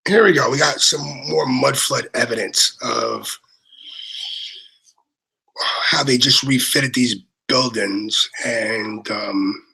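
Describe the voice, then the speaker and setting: A man from Brooklyn with a New York accent, chatting casually over a video call, swearing and excitedly repeating "you know what I mean". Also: medium pitch, slow pace, creaky, long pauses, breathy edge, low-quality recording.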